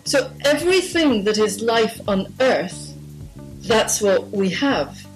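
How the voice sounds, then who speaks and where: A woman's voice: on the high side, lively intonation, slow pace, faint music behind, low-quality recording. A woman from Guyana, an environmental activist, talking firmly and conversationally in a phone interview, with small restarts.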